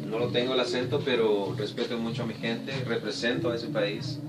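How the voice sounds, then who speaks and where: A man's voice: medium pitch, little pitch movement, brisk, very noisy. A man in his forties from El Salvador, answering interview questions casually in a modest, hesitant, reflective way. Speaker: guest, romantic music singer and painter. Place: small indoor room. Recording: echoey room, distant mic, people audible behind.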